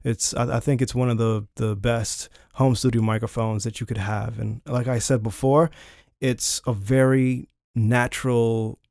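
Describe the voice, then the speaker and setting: A man's voice: medium pitch, little pitch movement, unhurried, creaky, a little mellow, no echo. A man giving a casual, chatty product review, talking informally to viewers on a close studio condenser microphone in a home studio. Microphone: close large-diaphragm studio condenser microphone.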